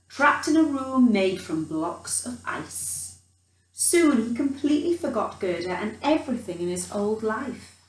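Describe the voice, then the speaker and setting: Echoey, animated woman reading a children's story. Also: medium pitch. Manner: theatrical, dramatic emphasis, chatty asides to young listeners. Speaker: children's storyteller in character as a Christmas elf. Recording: roomy echo, distant from the mic.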